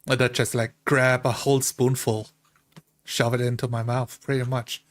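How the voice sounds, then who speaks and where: A man's voice: mid-range voice, flat delivery, normal speed. A man playing a tabletop fantasy game, casually improvising his character's actions and lines in the first person. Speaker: tabletop roleplay player.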